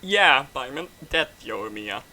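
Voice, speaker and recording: A man's voice: mid-range voice, animated, slow pace, sharp tone. A man giving casual, amused commentary while playing a video game, full of wry asides. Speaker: gaming streamer reacting to a game. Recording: game music clearly behind voice.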